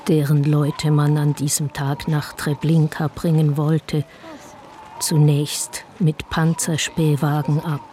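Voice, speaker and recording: An actor playing a sober chronicler of deportations, narrating in a scripted radio play. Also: male voice, a little high, monotone, takes it slowly, mellow, slight background noise.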